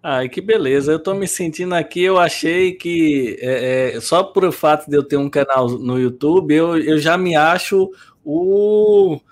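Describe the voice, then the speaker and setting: A man with a high voice chats casually on a podcast. He pokes fun at himself and laughs easily between questions. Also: animated, measured pace, gravelly. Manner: self-deprecating, laughs easily.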